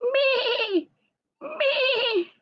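A woman voicing a small frightened lamb in a storybook, with desperate, short, shrill bleating cries. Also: animated, very slow, lo-fi sound, heavily acted.

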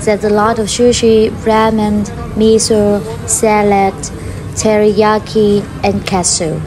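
A woman with a Vietnamese accent narrates a food vlog in slow, careful English. Her phrasing is simple and friendly, and her pitch sits in a middle register. Music plays clearly underneath her voice-over. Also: level tone, low-quality recording. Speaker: food vlogger. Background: background music.

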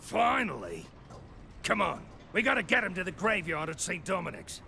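Gravelly, high, brash mercenary villain. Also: male voice, wildly swooping pitch, normal speed, pushed and bright, heavily acted. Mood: impatient. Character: brash, impatient male mercenary villain, crude and greedy. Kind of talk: video game cutscene acting.

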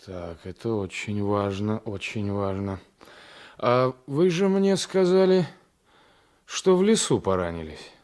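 An actor playing an officious village guard in a radio play, questioning insistently. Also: male voice, lowish voice, animated, slow, darkish tone. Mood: probing. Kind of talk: Soviet radio play acting. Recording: 1980s radio drama recording.

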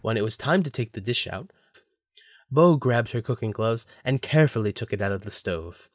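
A man's voice: mid-range voice, animated, normal speed, no room sound, clean studio sound. A man narrating a scripted story for an audio drama.

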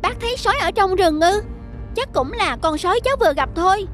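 A little donkey boy from a children's cartoon. The voice is extremely high and childlike, boastful and naive. Soft music sits behind it. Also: lively intonation, brisk. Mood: cocky excitement. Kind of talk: cartoon voice acting.

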